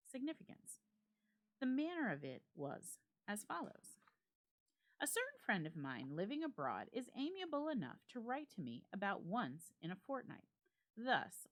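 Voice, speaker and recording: A woman reading a classic ghost story aloud as a dramatic narration, in a measured, formal literary delivery. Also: mid-range voice, a bit bright. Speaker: library staff member reading a story aloud.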